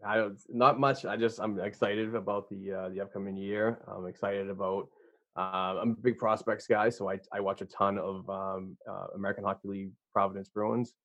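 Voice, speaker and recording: A man with a Boston accent, a guest on a podcast who rambles eagerly with lots of "uh"s. His voice sits on the low side and comes through a dry, slightly dull remote line. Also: level tone, normal speed, a bit bright, dry recording. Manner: enthusiastic, rambling, filler-heavy. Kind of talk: casual podcast interview.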